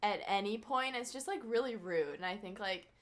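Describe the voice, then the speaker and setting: A young woman in her twenties, chatting as a podcast guest in a loose, animated way, saying "like" a lot. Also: mid-range voice, moderate pace. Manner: animated, heavy use of "like". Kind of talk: casual chat.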